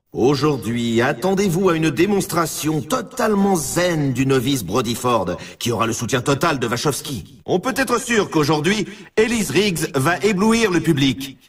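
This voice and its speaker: A man playing an excitable, grandiose snowboard championship announcer in a video game, delivering scripted, theatrical sports commentary. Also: on the high side, moderate pace, breathy edge, far from the mic, faint music behind. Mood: excited, intrigued. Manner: theatrical, grandiose, hyping the event.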